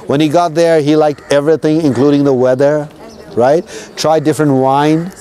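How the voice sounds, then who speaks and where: A man's voice: fairly high pitch, little pitch movement, moderate pace, mellow. A man from Nepal guiding tourists outdoors, telling history in a casual, conversational way and often checking in with his listeners. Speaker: tour guide.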